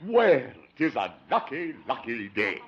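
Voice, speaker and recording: A man's voice: medium pitch, wildly swooping pitch, unhurried, heavy background noise. A florid con-man adviser. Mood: scheming. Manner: florid, grandiloquent, chuckling. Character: smooth-talking male con-man political adviser. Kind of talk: 1950s radio sitcom acting. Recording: dull lo-fi 1950 radio recording.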